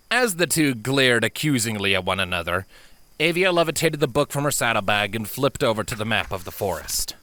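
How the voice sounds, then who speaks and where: Bright, animated storybook narrator. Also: medium pitch, no echo. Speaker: audiobook narrator. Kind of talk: dramatic scripted fan fiction narration.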